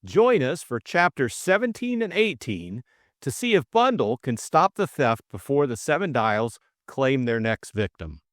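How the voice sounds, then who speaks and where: A man's voice: medium pitch, big pitch swings, unhurried, a bit bright, no room sound, clean studio sound. A man reading a scripted story recap and novel as an audiobook co-narrator, in dramatic style.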